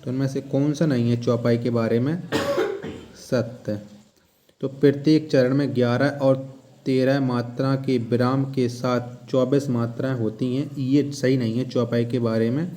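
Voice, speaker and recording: Mellow-voiced man teaching. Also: medium pitch, fairly even intonation, unhurried. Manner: instructional, repeats questions and key words. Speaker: exam coaching teacher. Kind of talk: question-and-answer lesson narration.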